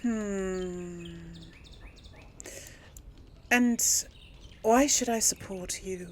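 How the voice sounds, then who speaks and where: A woman's voice: mid-range voice, drawn-out words, a little hiss or hum. A woman hosting a casual let's play, musing and thinking aloud as she goes. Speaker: gaming video host. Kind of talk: casual let's play commentary, some role-played replies.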